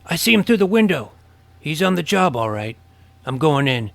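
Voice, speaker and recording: Man playing a creaky-voiced eager boy vampire hunter. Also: a little high, very animated, moderate pace, fairly bright tone, no room sound, full character voice. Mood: watchful, chatty. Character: eager twelve-year-old boy vampire hunter, suspicious and jumpy. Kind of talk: audio drama acting.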